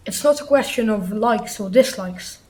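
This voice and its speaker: A woman acting a stern, authoritative older gentleman in a radio play, speaking formally and pompously. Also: mid-range voice, normal speed, lightly acted. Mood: stern, cautious.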